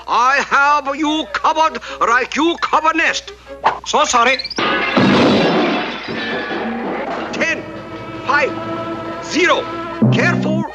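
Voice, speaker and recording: A man's voice: extremely high pitch, full character voice, rough recording. An actor voicing a polite, clever little male detective sidekick in a 1960s TV cartoon, with clipped broken English and excessive courtesy. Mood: excited. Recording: music bed under dialogue.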